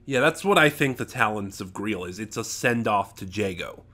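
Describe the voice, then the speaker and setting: A man giving a casual, unscripted review, speaking emphatically in a halting way, often trailing off and regrouping mid-thought. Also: lowish voice, lively intonation, sharp tone. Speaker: online reviewer.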